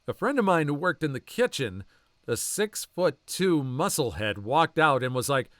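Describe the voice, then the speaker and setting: Lively man narrating online stories. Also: a little high, normal speed, no room sound, studio quality. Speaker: story narrator. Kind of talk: reading online posts aloud.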